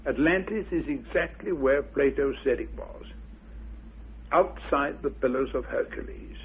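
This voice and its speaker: A man speaking as an interviewed researcher, giving opinionated, deliberate views in formal wording, unscripted. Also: mid-range voice, slight background noise. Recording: muffled old radio recording.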